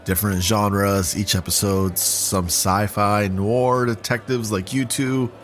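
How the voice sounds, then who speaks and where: A man's voice: on the low side, steady tone, slow pace, fairly bright tone. A man speaking as a podcast host in a scripted interview, earnestly explaining his show. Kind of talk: scripted audio drama interview.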